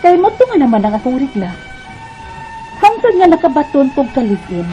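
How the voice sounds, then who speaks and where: A teenage Cebuano girl with a very high, mellow voice. She sounds naive and plaintive, a stubborn daughter in a radio drama. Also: very animated, moderate pace, muffled recording, soft background music. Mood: reflective, anxious. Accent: Cebuano Filipino. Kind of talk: Bisaya radio drama acting.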